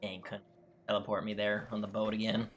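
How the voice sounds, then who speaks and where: A man with a lowish voice mutters casual commentary as he streams a game. His delivery is offhand, and loud music plays behind him. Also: flat delivery, brisk.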